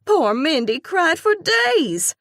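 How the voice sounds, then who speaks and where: A woman voicing an excitable, outraged young woman friend who talks in an indignant rush. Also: creaky, no room sound, studio quality, full character voice. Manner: rushed, indignant, high-pitched.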